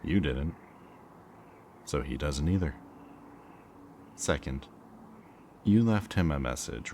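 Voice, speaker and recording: A man playing a smug, taunting kidnapper villain in an audio roleplay, with a mocking, theatrically drawn-out delivery. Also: a bass voice, a little mellow, slight background noise, full character voice. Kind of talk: scripted audio roleplay, ASMR.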